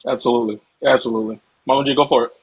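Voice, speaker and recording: A man's voice: medium pitch, steady tone, racing, gravelly, slight background noise, rough recording. A man hosting a casual live chat, handing the floor to speakers with short informal remarks. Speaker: host, moderator.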